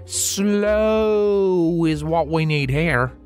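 A practical, bossy female farm pony from a storybook, as a man acts her out. The voice is raised high and drawls in a folksy country way. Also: very slow, a little mellow, soft background music. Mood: firm, patient.

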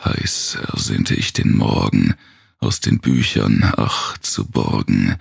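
Male narrator for gothic horror poetry: extremely low, husky voice, brooding and theatrical, slow delivery. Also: no room sound, clean studio sound. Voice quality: very gravelly, husky. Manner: brooding, theatrical, dropping into whispers.

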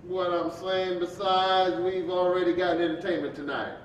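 A man's voice: little pitch movement, normal speed, natural voice. A man in a staged reading playing a jovial, impatient preacher who chides his friend in folksy banter. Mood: impatient, friendly. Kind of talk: staged play reading, conversational dialogue. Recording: dull, echoey, distant hall with rumble.

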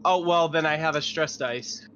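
Male tabletop player, bright and sweary, on a lo-fi, faintly noisy recording. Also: on the high side, normal speed. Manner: profane, exclamatory. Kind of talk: casual game chat. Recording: dull lo-fi mic with faint noise.

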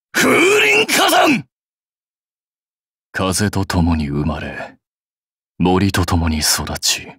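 An actor playing a proud, fierce warlord general in a mobile game, terse, rough and commanding. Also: male voice, deep voice, no room sound, studio quality, full character voice. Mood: solemn, resolute. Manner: terse, rough, declamatory delivery. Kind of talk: anime-style mobile game battle and dialogue voice lines.

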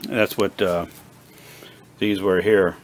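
A man giving a casual hands-on demonstration, thinking aloud in a halting, unhurried way. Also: low-pitched, fairly even intonation. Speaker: hobbyist radio repairer demonstrating equipment. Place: indoor home electronics workbench. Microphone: handheld camera mic. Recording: noisy handheld camera recording in workshop.

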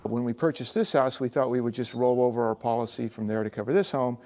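A man's voice: mid-range voice, fairly even intonation, fast talker, a little mellow. An older man, a homeowner, recounting hesitantly outside his house on a lapel mic.

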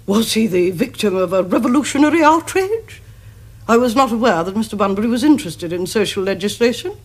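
A formidable aristocratic lady of middle age in a period television comedy. Her upper-class English voice swoops widely as she commands and disapproves with haughty, deliberate enunciation. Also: a little high, lively intonation. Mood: disapproving inquiry. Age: middle-aged.

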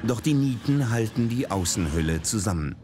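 A deep-voiced man reads documentary narration in a measured, grave manner. It sounds like a studio voice-over. Steady dramatic music plays beneath him.

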